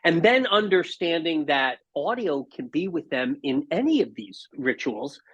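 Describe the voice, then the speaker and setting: Male interview guest, husky voice, articulate explainer, slightly dull lo-fi line. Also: a little high, big pitch swings, a little slow, fairly bright tone. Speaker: podcast guest, audio industry marketing executive. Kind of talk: conversational interview answers. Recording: dull, band-limited audio.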